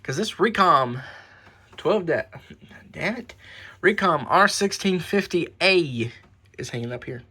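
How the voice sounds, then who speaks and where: A man's voice: on the high side, lively intonation, measured pace, long pauses, sharp tone, breathy edge. A man giving a casual, rambling show-and-tell as a hobbyist host, muttering asides and swearing mildly. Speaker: radio collector and host. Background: weather radios playing.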